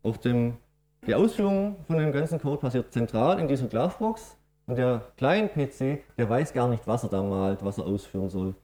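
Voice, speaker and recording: Swabian-accented presenter, middle-aged, mellow dark voice, explains plainly with filler sounds. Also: male voice, mid-range voice, expressive, moderate pace. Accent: Swabian German. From Swabia, Germany. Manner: explanatory, matter-of-fact, with frequent "ähm" fillers. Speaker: conference speaker. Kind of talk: technical conference presentation.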